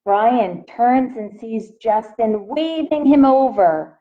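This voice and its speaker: Slow, expressive woman reading to children. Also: a little high, phone-quality sound. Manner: asks young listeners questions. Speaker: elementary school teacher reading aloud. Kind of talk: expressive picture-book read-aloud for children.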